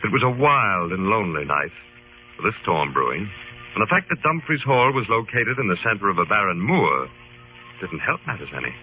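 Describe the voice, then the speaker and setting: An actor playing a calm, authoritative club leader, formal and measured, in old-time radio drama style. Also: male voice, on the low side, soft background music. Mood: storytelling. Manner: formal, measured, clearly enunciated. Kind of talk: 1940s-50s radio drama acting and narration. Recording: muffled old radio broadcast with hiss.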